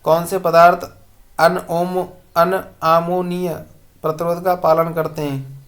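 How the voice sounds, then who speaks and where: Coaching-class tutor, mid-pitched voice, methodically reading out quiz questions with short, clear-cut answers. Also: level tone, slow. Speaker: exam coaching teacher. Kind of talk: question-and-answer lesson read aloud.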